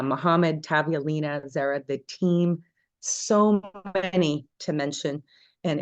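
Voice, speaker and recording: A woman's voice: low-pitched, a little mellow, no room sound, dull audio. A woman from Canada hosting an online information session, friendly and introducing each speaker in turn over a video call. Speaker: host.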